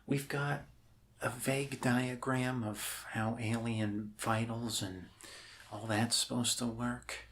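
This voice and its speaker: Male ASMR roleplay role, acted by a man: bumbling, apologetic doctor, unhurried and halting, reassuring, faint noise behind. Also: medium pitch, little pitch movement, a bit bright. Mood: rambling, reassuring.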